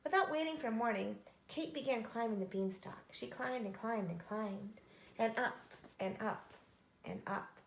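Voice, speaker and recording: A woman reading a children's story aloud with expressive narration. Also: on the high side, unhurried, a little hiss or hum. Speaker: storybook reader. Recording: echoey room.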